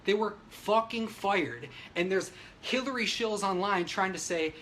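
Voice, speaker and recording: Male livestreamer, high-pitched voice, brash and agitated, sweary off-the-cuff political commentary, noisy audio. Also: a little slow, bright, forceful tone. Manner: brash, opinionated, frequent swearing; emphatic, agitated delivery. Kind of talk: unscripted ranting livestream, reading viewer comments.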